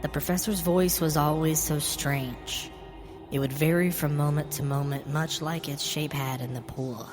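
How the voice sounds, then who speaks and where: Low-pitched woman narrating in long reverb. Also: steady tone, moderate pace. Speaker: horror story narrator. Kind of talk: scripted first-person horror narration. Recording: long reverb with music behind. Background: music.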